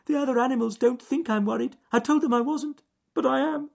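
A man voicing a small, timid, dithering male rabbit in a storybook, with a high squeaky falsetto and a fretful manner. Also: a little fast, creaky, darkish tone, exaggerated voice. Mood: frightened, worried.

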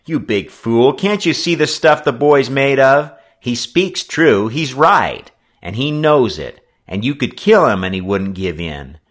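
A man's voice: low voice, big pitch swings, moderate pace, hardly any pauses, a bit bright, heavily acted. A man voicing a loyal, plucky young sailor lad in an audiobook, firm, wary and plain-spoken. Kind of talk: audiobook character acting.

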